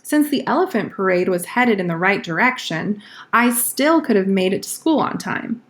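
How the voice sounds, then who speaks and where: A woman's voice: mid-range voice, lively intonation, moderate pace, lightly acted. A woman voicing a schoolboy from a storybook, earnestly telling tall-tale excuses. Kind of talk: storybook read-aloud.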